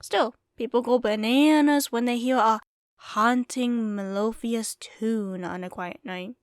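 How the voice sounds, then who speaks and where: An easygoing desert bartender and former singer, acted by a woman, speaks in a fairly high voice, close and dry on the mic. She is wry and self-deprecating, chatting one-to-one as in an ASMR roleplay. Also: a little slow, no echo, close to an everyday voice. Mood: amused, teasing. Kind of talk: ASMR roleplay acting, intimate one-sided conversation.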